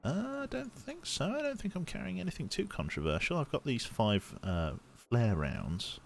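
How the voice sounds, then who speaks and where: A man's voice: a little high, very animated, normal speed, vocal fry, a little hiss or hum. A man streaming a game in casual commentary, chatty and talking through each action aloud, sounding slightly sniffly and congested. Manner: chatty, muttering his actions aloud as he plays.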